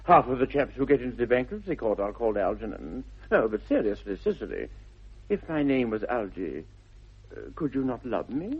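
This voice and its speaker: A muffled vintage radio recording of an upper-class young Victorian dandy with a British accent. His voice is middling in pitch and husky. He is smitten and easily flustered, hesitating and then gushing in plummy 1960s stage-comedy style. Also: very animated, fairly quick. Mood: flustered, pleading.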